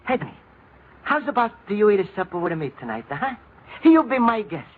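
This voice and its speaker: An actor playing a kindly, lonely Italian immigrant in a radio comedy, speaking earnest, bewildered broken English with an Italian accent. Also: male voice, sits high, very animated, a little fast. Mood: hopeful. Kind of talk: old-time radio comedy acting. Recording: noisy, tinny old radio recording.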